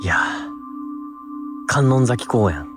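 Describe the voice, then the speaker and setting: A man's voice: mid-range voice, animated, slow pace, over music. A man co-hosting a paranormal investigation outdoors at night, chatting casually but politely, full of questions and exclamations. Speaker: paranormal video co-host. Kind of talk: casual reactive chat, junior-style polite speech.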